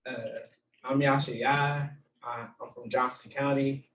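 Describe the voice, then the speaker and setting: A man teaching a casual Bible study to a small group, with a low voice and plenty of fillers. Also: flat delivery, measured pace, fairly bright tone. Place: room with a small group, also streamed online. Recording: echoey room, far from the mic.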